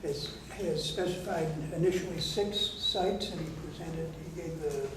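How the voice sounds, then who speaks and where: A man on a town council, reporting and reading out correspondence in a measured, formal way at a public meeting. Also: fairly high pitch. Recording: echoey meeting hall, distant mic, noisy.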